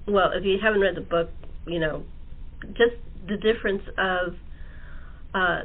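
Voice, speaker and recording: American female host, chatty and hesitant, mid-range pitch, heard over a thin phone connection. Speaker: talk show host. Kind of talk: casual chat interview. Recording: tinny phone-line audio.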